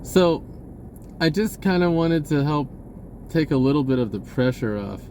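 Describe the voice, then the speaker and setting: A man's voice: fairly high pitch, lively intonation, moderate pace, warm tone, a little hiss or hum. A man giving a rambling, spontaneous message in an informal, hesitant way, often rephrasing as he goes. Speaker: online video creator.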